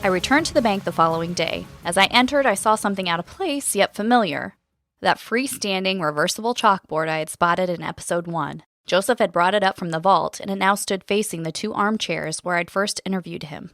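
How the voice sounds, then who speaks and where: An actress playing a curious, chatty podcast host investigating a mystery, moving between scripted narration and casual, wry conversation. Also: female voice, medium pitch, brisk, fairly bright tone, soft background music, natural voice. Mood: curious, intrigued. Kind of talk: scripted first-person narration and conversational dialogue.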